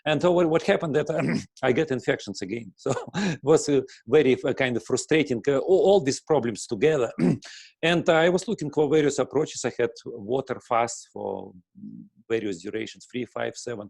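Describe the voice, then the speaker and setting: Middle-aged Russian-accented man, interview guest, mid-pitched, dry close sound, halting delivery with frequent throat clearing. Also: a little mellow, no room sound. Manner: halting, many fillers and restarts, clears throat often. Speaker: guest, breathing teacher. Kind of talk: casual interview answer.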